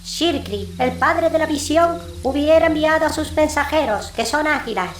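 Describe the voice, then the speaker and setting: A woman's voice: high voice, lively intonation, normal speed. A woman voicing a giant owl messenger, solemn and deferential, with formal, ceremonious speech in an audiobook. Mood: solemn, explanatory. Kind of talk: audiobook character acting. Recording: roomy echo, noisy background.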